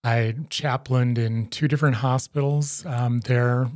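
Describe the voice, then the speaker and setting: A middle-aged man speaks at an average pitch, recorded dry and close with little room sound. He talks haltingly, filling gaps with ums and restarting phrases. He often answers with a quick yes or yep. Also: fairly even intonation, darkish tone, dry recording. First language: English. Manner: hesitant, frequent ums and restarts, affirming. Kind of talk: conversational interview storytelling.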